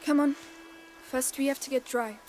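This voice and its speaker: A woman's voice: high voice, fairly even intonation, normal speed, very noisy, close to an everyday voice. A young woman playing an urgent, protective teenage girl. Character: determined teenage girl protecting her brother. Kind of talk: video game cutscene acting. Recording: game audio with music.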